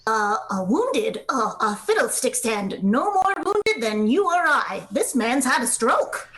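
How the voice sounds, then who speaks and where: A woman's voice: fairly high pitch, very animated, measured pace, fairly bright tone. A woman playing a stern male country doctor in a radio play, scolding and lecturing in a brusque, blunt manner.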